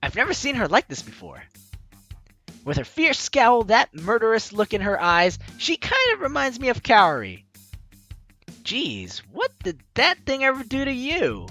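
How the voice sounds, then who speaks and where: A woman's voice: a little high, wildly swooping pitch, unhurried, a bit bright, soft background music. A woman hosting a gaming video, reading game text aloud with playful, theatrical asides and casual commentary.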